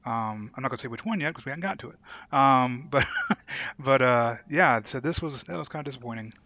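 Male podcast co-host, crisp forceful tone, casual delivery, dry low-fidelity recording. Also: animated, brisk, no echo. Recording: dull lo-fi sound.

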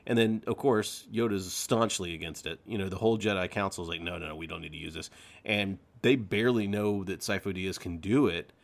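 Man, low-pitched voice, podcast co-host, casual rambling book talk, slangy. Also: a little fast, gravelly. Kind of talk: casual book discussion among friends.

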